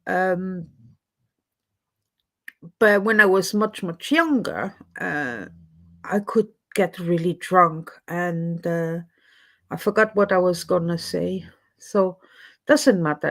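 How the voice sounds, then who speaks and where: Slow-talking woman chatting. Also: on the low side, vocal fry, a bit bright. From Switzerland. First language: French. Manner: rambling, chatty, often loses her thread. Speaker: live stream host. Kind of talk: casual live chat with viewers. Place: home, in Edinburgh.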